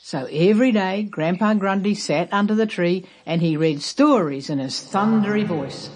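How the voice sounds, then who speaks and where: A woman narrating a children's story aloud as a storyteller, expressive and emphatic. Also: mid-range voice, normal speed.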